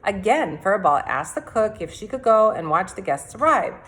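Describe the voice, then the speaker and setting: A woman's voice: medium pitch, sing-song, normal speed, a bit bright. A woman reading a fairy tale aloud expressively, as a teacher would to children. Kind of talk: expressive storybook read-aloud.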